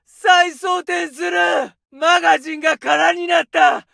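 An actor playing a young male infantry soldier in a war video game, barking short orders with a gravelly edge. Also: very high-pitched, flat delivery, slow, pushed and bright, no echo, shouting, heavily acted. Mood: urgent. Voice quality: gravelly, barked commands. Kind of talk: shouted game voice lines. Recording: dry studio recording.

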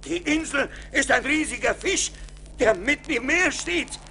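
A panicked sea captain in a radio play, calling out urgently in a very high voice. The words come slowly over clear noise on an old vinyl recording. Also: male voice, lively intonation, sharp tone, heavily acted. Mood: alarmed, urgent. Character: ship captain shouting a panicked warning to his crew.

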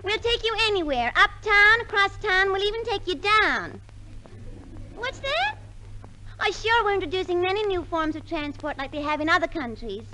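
A woman's voice: extremely high pitch, animated, moderate pace, sharp tone, heavily acted. An actress playing a brash, chirpy company telephone girl in a 1950s radio comedy. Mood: chirpy, businesslike. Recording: noisy 1950s radio recording.

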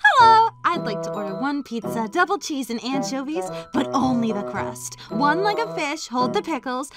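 A woman's voice: sits high, very animated. A woman voicing a manic, mischievous young villain woman in a cartoon comic dub, theatrical and taunting, with music behind. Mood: silly. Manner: drawn-out taunting, unhinged, theatrical. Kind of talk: animated comic dub acting.

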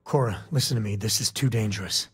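An actor playing a protective, wry space-cowboy father in a video game, with a husky, rough-edged voice and a casual, folksy way of talking. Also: lowish voice, fast talker. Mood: worried, stern. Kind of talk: video game dialogue acting.

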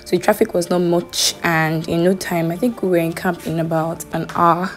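A woman, vlogging in a chatty, wry way, her voice worn hoarse. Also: lowish voice, fairly even intonation, normal speed. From Nigeria. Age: 20s. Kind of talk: casual vlog, voice-over and selfie clips. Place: outdoor camp grounds and inside a car. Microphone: phone camera at arm's length. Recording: loud crowd and music behind.

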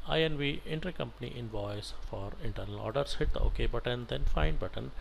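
A man teaching software as an instructor, talking through an on-screen tutorial step by step in halting, instructional phrases. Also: medium pitch, creaky. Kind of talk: screen-share tutorial narration, unscripted step-by-step. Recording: noticeable background noise.

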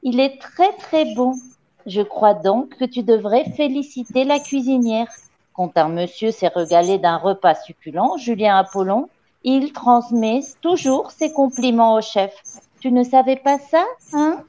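A woman's voice: a little high, animated, measured pace, dark tone. A woman reading a story as a domineering headmistress, mock-polite and commanding with a menacing false sweetness. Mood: falsely sweet.

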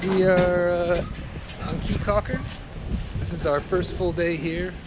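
A man's voice: high-pitched, steady tone, unhurried, background noise. A man in his thirties chatting casually to a handheld camera outdoors as he vlogs his travels. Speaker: travel vlogger. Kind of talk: casual vlog chat to camera. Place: outdoors on streets and docks. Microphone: handheld camera at arm's length. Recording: loud music over outdoor speech.

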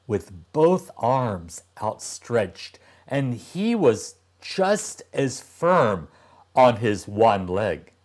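A man in his sixties reading a storybook aloud to young children, deliberately and with dramatic pauses. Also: medium pitch, a little mellow. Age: older, about 60s. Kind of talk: expressive storybook narration.

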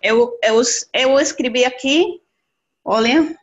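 A middle-aged woman with a Costa Rican Spanish accent, a language student chatting haltingly as she searches for words on a video call. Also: high-pitched. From Costa Rica. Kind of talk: casual lesson conversation. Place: indoor room, video call. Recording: dull lo-fi video-call audio.

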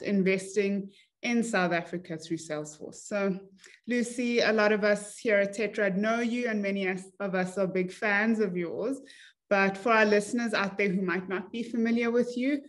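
Enthusiastic young woman hosting a video call. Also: mid-range voice. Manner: friendly, enthusiastic. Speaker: podcast host.

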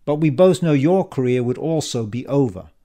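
A man voicing a cheeky male schemer in an audiobook, calm, confident and mockingly polite as he taunts. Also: medium pitch, moderate pace, lightly acted. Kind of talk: audiobook character dialogue.

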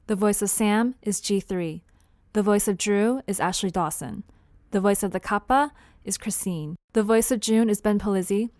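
A woman's voice: medium pitch, a little mellow, dry recording, clean studio sound. A woman announcer reads scripted credits. Her delivery is that of a prepared sign-off.

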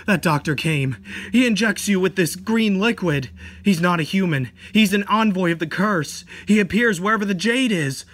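A man's voice: high voice, lively intonation, normal speed, a bit bright, voice pushed up. A man voicing a terrified cursed boy in a horror comic, strained, urgent and pleading. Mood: panicked. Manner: panicked, stammering, urgent.

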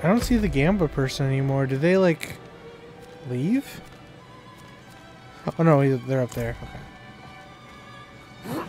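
A man's voice: medium pitch, expressive, measured pace, long pauses, darkish tone, soft background music. A man chatting casually and playfully as he plays a co-op video game with friends. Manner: joking, playful. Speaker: co-op gamer. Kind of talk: casual gameplay chat.

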